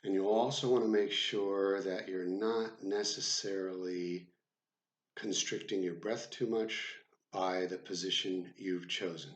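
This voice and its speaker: A man with a low voice leads a guided body scan. He speaks very slowly and deliberately, leaving frequent gaps between short phrases. The sound is roomy, with clear echo around his voice. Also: steady tone. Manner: measured, deliberate, with frequent pauses. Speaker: psychotherapist guiding meditation. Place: room with the door left open.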